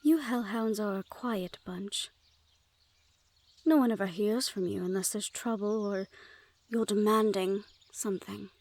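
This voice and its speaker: A woman's voice: medium pitch, a little slow, a little mellow. A woman playing a wary, teasing Fae border guard in a fantasy audio roleplay, with a playful, sardonic and haughty manner. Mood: wry, resentful.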